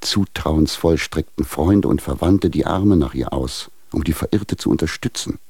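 An actor in a German radio drama playing a stern, sanctimonious guardian, speaking in a pompous, formal, moralizing way with a gravelly bass voice. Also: male voice, moderate pace, mellow, dry recording, clean studio sound, full character voice. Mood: stern condemnation. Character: stern, sanctimonious guardian denouncing his wayward ward.

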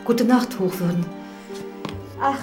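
Hesitant village housekeeper. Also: female voice, a little high, slow, over music. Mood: deferential, confiding. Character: deferential, curious village housekeeper to a priest. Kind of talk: radio drama dialogue.

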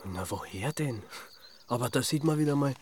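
An actor playing a grumpy, sceptical amateur sleuth in a radio drama, with an Austrian accent and a dry, sardonic way of talking. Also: male voice, medium pitch, lively intonation, normal speed, noisy background, lightly acted. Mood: curious, dry. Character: grumpy, sceptical male city man, reluctant amateur sleuth.

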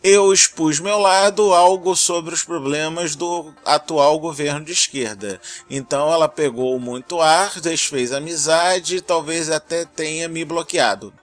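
Brazilian guy, podcast host reading mail, slightly high voice, music playing behind him. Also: unhurried, few pauses. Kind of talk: reading listener mail and thanking patrons on a podcast.

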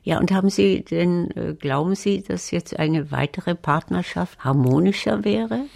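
A woman's voice: on the low side, a little slow, gravelly, a little mellow, no echo, studio quality, full character voice. An actress playing a sceptical older woman who probes her date in a matter-of-fact way in a radio drama. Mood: probing. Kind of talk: improvised audio drama dialogue.

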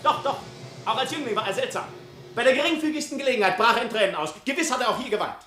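Casting: courtly, ironic, fearless prince, very lively melodic delivery, 1950s radio play, roomy sound. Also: male voice, very high voice, exaggerated voice. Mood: bold, provoking. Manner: courtly, teasing, ironic, unhurried storytelling. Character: bold, witty foreign prince, unafraid of death. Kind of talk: 1950s German radio drama acting.

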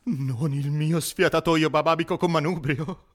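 Pompous proud factory boss, highish voice, exclaims and repeats stuff in disbelief, absurd radio play. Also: male voice, fairly even intonation, a little slow. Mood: aghast. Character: bossy factory owner, proud, increasingly dismayed and incredulous. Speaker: actor.

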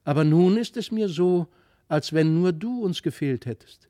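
A man's voice: fairly high pitch, expressive, moderate pace, warm tone, voice pushed up, lightly acted. A man voicing an earnest, sometimes grumbly young country boy in a storybook reading. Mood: earnest, relieved.

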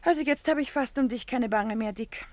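An actress playing a gangster's sceptical, teasing young companion, wry and questioning, in a 1950s satirical crime radio play. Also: female voice, on the high side, a little fast, no room sound, close to an everyday voice.

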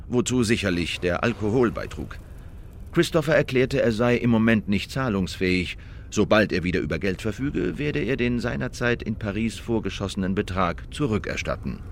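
An actor playing a male eyewitness who recounts a killing in a formal, measured, insistent manner in a scripted radio play. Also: lowish voice, a little hiss or hum. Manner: formal, measured, precise testimony. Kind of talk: scripted first-person radio drama narration.

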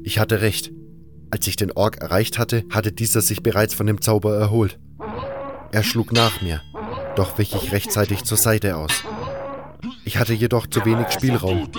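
A man's voice: lowish voice, steady tone, moderate pace, music in the background. A man reading scripted first-person narration for a fantasy audio drama.

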